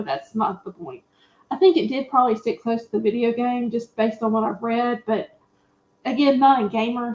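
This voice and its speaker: A woman panelist giving a candid, opinionated and colloquial film recap in casual chat. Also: fairly high pitch. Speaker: panelist on a movie discussion show. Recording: roomy, echoey sound.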